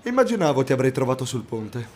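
A man's voice: medium pitch, very animated, fast talker, darkish tone, husky. A man playing a friendly, encouraging sailor in a fantasy audio drama, easygoing and persuasive. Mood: friendly, coaxing. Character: friendly, encouraging shipmate on a sailing ship.

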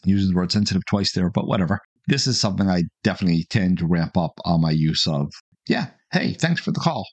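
A man with a fairly low voice hosts a podcast in a casual, conversational way. He offers his views directly as he answers callers. The recording is very dry. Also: animated, quick pace, husky, no echo. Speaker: podcast host. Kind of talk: casual podcast talk responding to listener calls.

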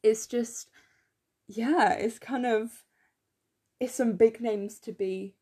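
A young woman co-hosting a podcast in casual chat, enthusiastic and full of fillers, her voice slightly croaky, recorded on a phone in her room. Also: fairly high pitch, fairly even intonation, slow pace, warm tone. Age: young adult. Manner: chatty, enthusiastic, many fillers. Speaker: podcast co-host.

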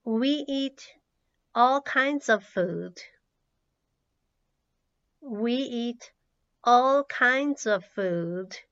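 A woman who is a native Japanese speaker, teaching English by reading out words and sentences with deliberate, measured enunciation for learners. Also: fairly high pitch, lively intonation, fairly bright tone, clean tone. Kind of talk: language drill, reading words and sentences twice. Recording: slightly dull, lo-fi audio.

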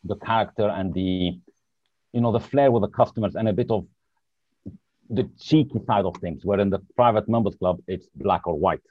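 Brief: very low-pitched man from Lebanon, guest on a call, hunts for words, dry lo-fi sound. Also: normal speed, breathy edge, dry recording. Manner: searches for words, hesitant. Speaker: podcast guest. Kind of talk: casual interview chat.

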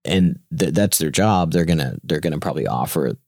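A deep-voiced man in his thirties, a founder telling his story in a casual interview, and Gujarati was his first language. He stumbles and restarts often, then lifts his voice when quoting others. The sound is close and dry. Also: no echo. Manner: halting, many restarts and fillers, animated quoting. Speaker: startup founder, guest.

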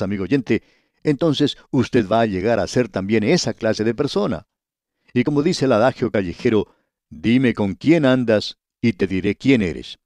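A man's voice: mid-range voice, darkish tone, dry recording. A man teaching a radio Bible study in an earnest, scripted way, often addressing the listener directly.

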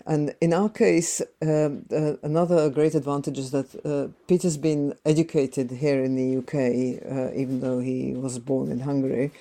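A woman in her sixties whose first language is Slovak, answering interview questions in a thoughtful way with frequent pauses. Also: rumbling low, flat delivery, mellow. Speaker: interview guest, translator.

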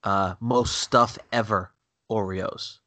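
A man's voice: on the low side, steady tone, takes it slowly, sharp tone, no room sound. A man with a stuffy nose from a cold, chatting bluntly and with strong opinions, often repeating himself. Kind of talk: casual chat. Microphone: remote call connection.

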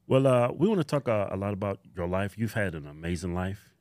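A man's voice: fairly low pitch, lively intonation, a little fast, a little mellow, dry recording. A man co-hosting a casual podcast, joking and swearing freely as he reads ads and questions his guest. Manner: joking, profane, plain-spoken. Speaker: podcast co-host.

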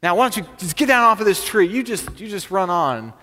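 A man's voice: on the high side, big pitch swings, fairly quick, pushed and bright, a little hiss or hum, full character voice. A man voicing a proud, sarcastic farmer in a folksy, scolding manner while telling a story. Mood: dismissive, exasperated. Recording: long reverberant hall.

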